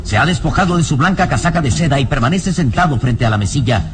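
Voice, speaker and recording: A man narrating a Spanish-language radio drama in a deliberate, theatrical scripted style. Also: fairly high pitch, expressive, faint music behind.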